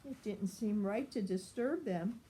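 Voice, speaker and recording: An older woman reading a children's story aloud in an expressive, grandmotherly style. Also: medium pitch, a little slow, poor audio.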